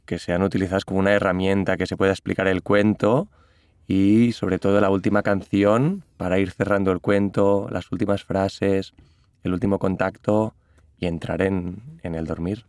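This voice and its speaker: Low gravelly Catalan-accented man, hesitant. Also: fairly even intonation, moderate pace, darkish tone, dry recording, studio quality. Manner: thoughtful, hesitant, many restarts. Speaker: musician, interview guest. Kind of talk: interview conversation.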